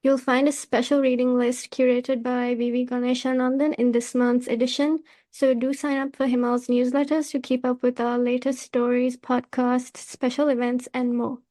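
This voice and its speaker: A woman with a clearly high voice presents as a podcast host. Her intonation stays very even, with little rise or fall, in a prepared, scripted style. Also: normal speed.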